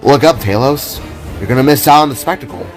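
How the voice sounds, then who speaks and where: A man's voice: medium pitch, brisk, soft background music, voice pushed up, close to an everyday voice. A man voicing an awkward, curious, easily awed male adventurer in an amateur audio drama. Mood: urging. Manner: mumbling, whispering, calling out in complaint.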